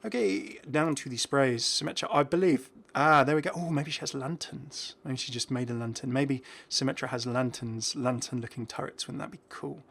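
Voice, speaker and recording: A man's voice: medium pitch, steady tone, normal speed, vocal fry, hardly any pauses, a bit bright, slightly rough. A man hosting a gaming video, giving casual commentary and musing aloud in a chatty way. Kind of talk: casual game update commentary.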